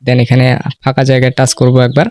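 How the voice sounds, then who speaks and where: A man hosts a casual how-to tutorial at a quick clip. He explains step by step in an informal way and keeps checking that listeners follow. His voice is recorded dry. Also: mid-range voice, completely flat delivery, darkish tone, dry recording. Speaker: tutorial host.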